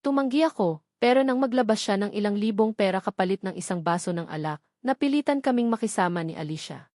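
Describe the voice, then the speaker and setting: A woman's voice: medium pitch, lively intonation, fast, a little mellow, dry recording, studio quality. A woman reading a first-person romance novel as an audiobook narrator.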